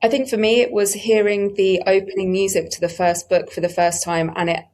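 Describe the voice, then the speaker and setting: A woman's voice: mid-range voice, flat delivery, brisk, a little mellow. Enthusiastic woman chatting informally. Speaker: production team member on a panel. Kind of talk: casual conversational anecdotes.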